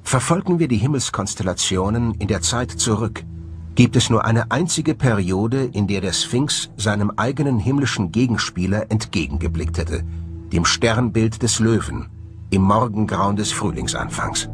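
A man reads scripted documentary narration in a measured, solemn way. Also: lowish voice, lively intonation. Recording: music bed under the voice. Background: music.

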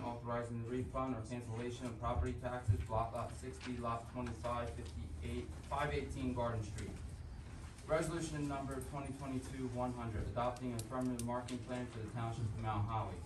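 A man's voice: mid-range voice, a little fast. A man chairing a township council meeting, reading agenda items in a procedural way. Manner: flat, procedural. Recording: echoey meeting room, far mic, some hiss.

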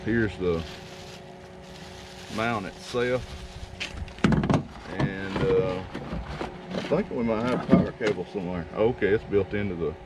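Deep-voiced man explaining outdoors. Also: unhurried, pauses often. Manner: relaxed folksy explaining with many fillers. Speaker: DIY video host. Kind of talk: casual how-to demonstration. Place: outdoors in a driveway. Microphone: camera mic. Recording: distant outdoor camera mic with noise.

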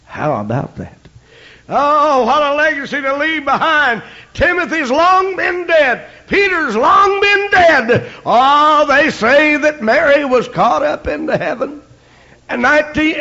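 High-pitched, dramatically swinging American male preacher. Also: a bit bright. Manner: emphatic, halting phrases punctuated with amens and praise. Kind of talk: fervent Pentecostal sermon, swinging from shouts to hushed asides. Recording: dull, lo-fi archival sermon tape.

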